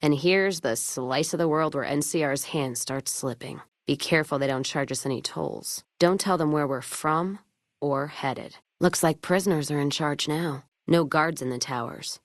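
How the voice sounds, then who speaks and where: A woman's voice: low voice, moderate pace, no room sound, clean studio sound. A woman playing a blunt, hard-drinking, foul-mouthed wasteland companion in a video game, wry and wary, with clipped, folksy phrasing. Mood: wry musing.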